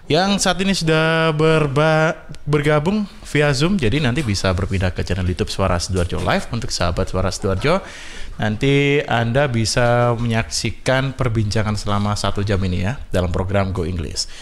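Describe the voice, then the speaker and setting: A man's voice: medium pitch, brisk. Male radio host. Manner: switches between Indonesian and simple English, chatty. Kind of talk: bilingual radio talk show hosting. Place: radio studio.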